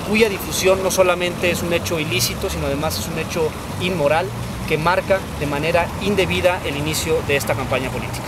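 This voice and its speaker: A young man with a Mexican accent giving a measured, formal statement to the press outdoors. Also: a little high, steady tone. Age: young adult. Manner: measured, legalistic. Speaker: politician. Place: outdoors on a street among reporters. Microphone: handheld press microphones. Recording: very noisy street with traffic.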